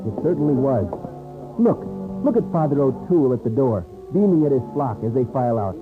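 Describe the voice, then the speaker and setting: A man's voice: mid-range voice, expressive, music in the background, close to an everyday voice. A man playing an earnest, loyal young frontier man who speaks formally in a radio western. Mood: earnest, determined. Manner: formal, measured, few contractions. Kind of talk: 1950s radio western acting. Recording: tinny old radio recording.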